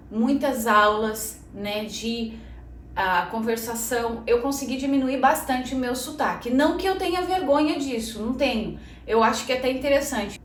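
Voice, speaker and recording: A woman's voice: on the high side, far from the mic. A Brazilian woman in her thirties hosting a casual personal vlog, speaking Portuguese with a Brazilian accent, chatty and talking straight to her viewers. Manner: chatty, addresses viewers as "gente", frequent "né". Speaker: channel host. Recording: echoey room, voice a bit off-mic, faint noise.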